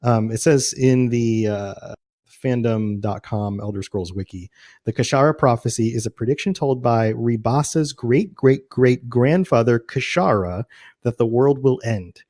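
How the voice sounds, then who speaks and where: Man, podcast host, mid-range pitch, chatty, informal, casual conversation. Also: unhurried, a little mellow. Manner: chatty, informal, often chuckling. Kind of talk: casual interview chat about video game lore.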